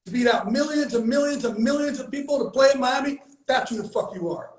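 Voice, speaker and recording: A man with a high voice speaks with blunt, emphatic conviction, swearing freely and hammering his points through repetition. It is a casual, heartfelt conversation recorded in a room with a noticeable echo. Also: fast. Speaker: host. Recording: echoey room.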